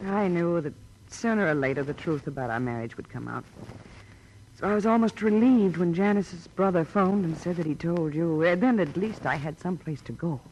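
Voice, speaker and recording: A woman's voice: fairly low pitch, normal speed, darkish tone, lightly acted, rough recording. A restrained, wounded widow played by a woman in a 1950s radio noir. She speaks hesitantly and earnestly, on the guard, with faint noise on the old recording. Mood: resigned, wounded. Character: plain, estranged widow under suspicion, guarded and wounded. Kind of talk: 1950s radio drama acting.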